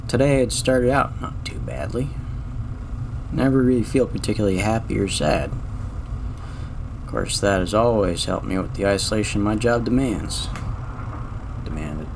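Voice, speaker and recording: A man's voice: lowish voice, expressive, moderate pace, pauses often, background noise. A man voicing a weary, sardonic lone male space garbage man who narrates his own story with dry, cursing wit in an audio drama. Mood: wry. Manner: wry, dry, muttering curses.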